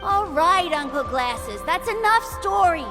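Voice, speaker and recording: A woman voicing a lively young girl of a tribe in a game, telling a legend in a playful, dramatic way. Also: unhurried, big-room sound, over music, exaggerated voice. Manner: playful, dramatic storytelling with big pitch swings. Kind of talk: game dialogue voice acting.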